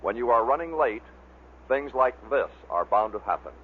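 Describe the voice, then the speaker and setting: A man's voice: medium pitch, lively intonation, normal speed. A man reading scripted narration that introduces clips, as the narrator of a compilation. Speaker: narrator of a radio bloopers compilation. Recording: muffled vintage narrow-band recording with hiss.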